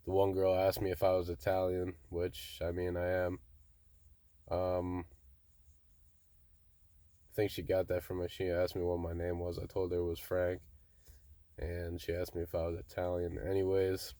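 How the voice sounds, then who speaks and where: A young man casually telling a story to his viewers in a monotone, laid-back way, often saying "bro". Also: low voice, moderate pace, long pauses, no room sound. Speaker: vlogger.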